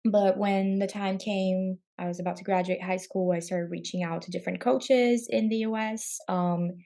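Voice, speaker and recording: A young woman from Brazil, a guest on a podcast, answering thoughtfully with frequent pauses and "um"s. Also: mid-range voice, fairly even intonation, creaky, mellow. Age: young adult. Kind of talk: casual interview.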